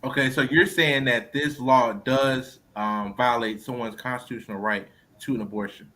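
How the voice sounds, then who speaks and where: A male podcast host moderates a panel of lawyers, steering the talk and passing the floor to each guest. His voice is bright and lively, at a middle pitch. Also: normal speed.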